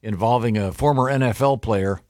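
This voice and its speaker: Man, radio host, deep voice, halting reads, dry studio sound. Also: a little mellow, no room sound. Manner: halting, reads with many uh fillers. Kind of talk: morning radio banter, reading listener letters.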